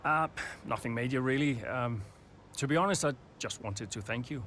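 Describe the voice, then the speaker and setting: A man acting as an earnest, guarded racing team boss in a video game. His medium-pitched voice is understated and halting, confiding over faint background noise. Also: a bit bright, natural voice. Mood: sincere, grateful.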